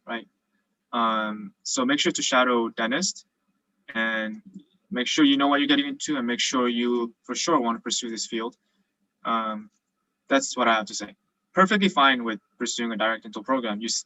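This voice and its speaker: A man's voice: medium pitch, bright, forceful tone, no room sound, rough recording. A young man who grew up in South Korea and is now a dental student, explaining cases casually with lots of fillers over a video call. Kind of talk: virtual presentation and Q&A.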